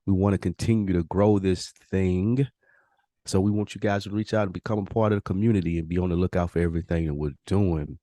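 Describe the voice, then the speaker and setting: A man's voice: deep voice, steady tone, quick pace, warm tone, dry recording, clean studio sound. An American man hosting a podcast in a casual, slangy, laid-back way, swearing freely. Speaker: podcast host. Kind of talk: casual podcast chat and show intro.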